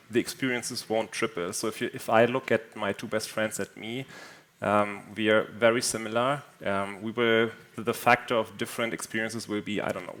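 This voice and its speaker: A man with a lowish voice talks on a conference panel. He reasons aloud in a thoughtful, measured way, circling back with fillers and restarts before landing his point. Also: fairly bright tone. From northern Germany. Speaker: panel speaker, engineering executive. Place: conference stage.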